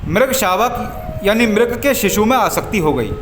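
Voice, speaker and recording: A man narrating a scripted religious story as a devotional storyteller, in measured, formal phrases with frequent pauses. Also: sits high, lively intonation, a bit bright, a little hiss or hum. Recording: echoey voice over background music. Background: background music.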